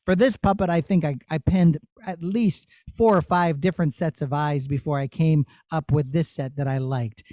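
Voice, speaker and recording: High-voiced middle-aged man, dry close sound. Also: warm tone, no echo. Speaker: puppeteer teaching a master class. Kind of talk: casual explaining to children.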